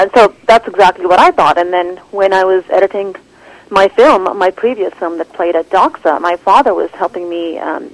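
A woman film director answering interview questions in an animated, rambling way, full of restarts and "like". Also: medium pitch, poor audio. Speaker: documentary director, guest.

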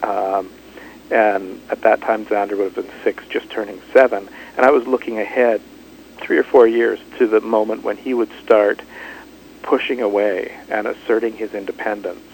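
Low gravelly man, halting and thoughtful. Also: steady tone, a little hiss or hum, rough recording. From Canada. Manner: thoughtful, halting, many restarts. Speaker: author. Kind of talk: radio interview.

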